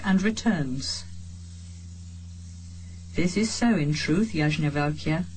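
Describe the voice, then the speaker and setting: A woman reading a sacred text as a solemn audiobook, with measured, deliberate phrasing and pauses between phrases. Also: medium pitch, a little mellow, slightly rough, low-quality recording. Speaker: reader of a sacred text translation.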